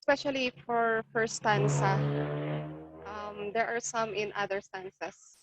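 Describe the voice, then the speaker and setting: A woman with a Filipino accent giving polite, measured feedback in an online class. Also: medium pitch, steady tone, heavy background noise. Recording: dry and slightly dull.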